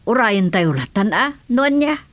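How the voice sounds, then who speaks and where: Actress as gentle older village mother. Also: a little high, big pitch swings, moderate pace, no echo. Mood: reassuring. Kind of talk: Ilocano radio drama acting, conversational.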